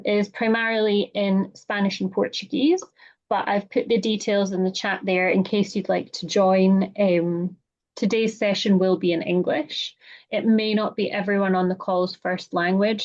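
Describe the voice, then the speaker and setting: Scottish lady hosting a zoom thing, chatty and friendly, lots of ums, kinda muffled call audio. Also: medium pitch. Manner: chatty and welcoming, frequent "um" fillers. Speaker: webinar host. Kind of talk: hosting an online meeting, housekeeping announcements. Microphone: computer or headset mic on a video call. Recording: slightly dull video-call audio.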